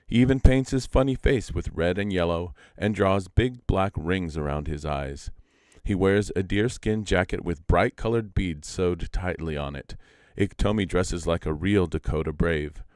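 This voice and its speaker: A man reading a story aloud live as a storyteller, in a measured, deliberate and expressive way. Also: low-pitched, clean tone, no echo, studio quality.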